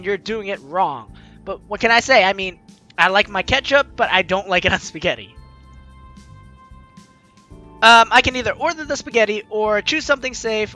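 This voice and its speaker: A woman hosting a casual let's play, reading game text aloud and reacting chattily. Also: mid-range voice. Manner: animated, chatty reactions to the story. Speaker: gaming channel host. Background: game music.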